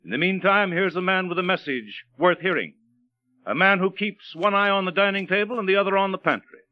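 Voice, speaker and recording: High-pitched male announcer, old radio. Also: brisk, no room sound. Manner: formal, presentational. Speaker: program announcer. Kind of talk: 1930s radio announcing, scripted. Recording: dry, muffled vintage radio with music.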